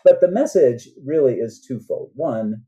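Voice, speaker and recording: A man's voice: medium pitch, expressive, unhurried, warm tone, muffled recording, a little hiss or hum. An older man chatting casually as a guest author, rambling a little and often checking in with "right?". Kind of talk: casual interview chat.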